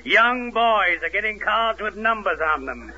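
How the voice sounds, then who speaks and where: An actor playing a pompous, clueless, self-righteous reformer who declares things stubbornly in 1940s radio comedy. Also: male voice, sits high, animated, unhurried, bright, forceful tone, exaggerated voice. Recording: muffled, noisy 1941 radio broadcast.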